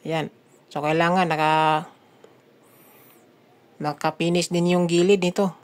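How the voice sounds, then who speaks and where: A Filipino woman with a fairly low voice narrates a hands-on how-to video. She speaks in a casual, conversational way and often addresses her viewers as a group of friends. Her delivery is that of a DIY builder explaining the work step by step. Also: flat delivery, slow pace. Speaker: DIY speaker-box builder and vlog host.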